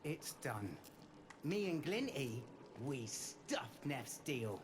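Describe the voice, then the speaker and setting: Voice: medium pitch, big pitch swings, slow pace, background noise. An actor playing a loyal, wistful young hoverboard-gang kid in a video game, with slangy, informal speech. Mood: proud, affectionate.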